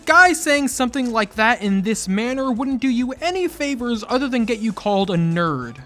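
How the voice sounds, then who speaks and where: Animated, sarcastic YouTube commentator over music. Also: medium pitch. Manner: exasperated, sarcastic, exclaims in mock outrage. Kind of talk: scripted comedic commentary.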